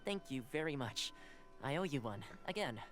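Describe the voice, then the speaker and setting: A man's voice: on the high side, animated, moderate pace, natural voice. A man voicing a friendly, diplomatic young household envoy in a video game, courteous and easygoing as he explains. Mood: relieved, grateful. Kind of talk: game dialogue. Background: soft game music.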